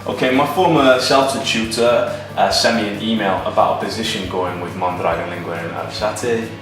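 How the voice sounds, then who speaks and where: Male teacher, interview testimonial. Off-mic sound, clear music underneath. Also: fairly low pitch, fairly quick. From Cardiff, Wales. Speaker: English teacher. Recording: very echoey, distant mic, music over it.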